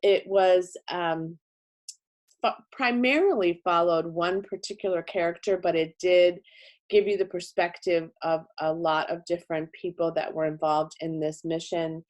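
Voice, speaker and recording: A middle-aged woman with a low, deep-set voice talks chattily about books, often stopping to correct herself. She is still a little hoarse and congested, and the stuffiness shows in her voice. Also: steady tone, measured pace. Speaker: book channel host. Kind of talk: casual book wrap-up.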